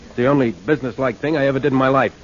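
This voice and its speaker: Male actor for gloomy, anxious songwriter, rapid delivery, vintage radio serial with dull sound. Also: medium pitch, a little hiss or hum, close to an everyday voice. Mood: glum, worried. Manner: downcast, resigned. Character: glum, worried male songwriter suspected of murder. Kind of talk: 1940s radio drama acting. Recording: dull 1940s radio recording.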